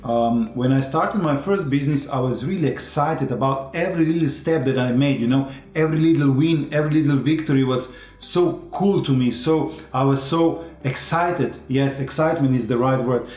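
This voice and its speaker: A man's voice: fairly high pitch, quick pace, hardly any pauses, darkish tone, roomy sound. A man with a Slovenian accent, a native Slovenian speaker, earnestly sharing his own story and advice as a co-host. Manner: earnest, agreeable, frequent fillers. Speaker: relationship coach, co-host. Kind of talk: casual advice talk, personal story.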